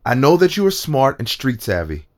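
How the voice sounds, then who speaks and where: A man's voice: mid-range voice, wildly swooping pitch, normal speed. A man acting in an audio drama as a strong, secretive rebel politician, confiding earnestly in his lover. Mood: worried.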